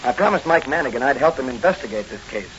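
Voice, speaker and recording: A man's voice: mid-range voice, animated, quick pace, fairly bright tone, close to an everyday voice. An actor playing an earnest young rookie policeman with a secret crime-fighting life, in 1940s radio drama style. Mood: earnest, concerned. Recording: hissy, dull old radio recording.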